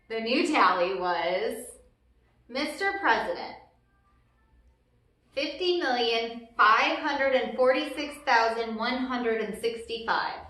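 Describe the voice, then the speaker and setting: A woman reading a children's picture book aloud as a storyteller, with deliberate, emphatic phrasing and brief asides. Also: a little high, sharp tone. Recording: very echoey room, distant mic, noisy background.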